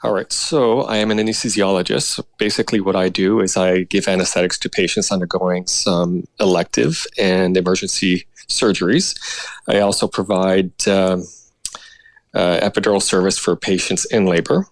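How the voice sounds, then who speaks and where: A man from Canada, a guest doctor, explaining his work in a measured way over a remote call. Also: low voice, steady tone, dry recording. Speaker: guest, anesthesiologist.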